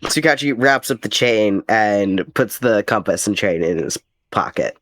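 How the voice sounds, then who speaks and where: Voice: mid-range voice, lively intonation, unhurried, a bit bright, no echo. A tabletop roleplaying player chatting casually through the game, with hesitant, wordy phrasing.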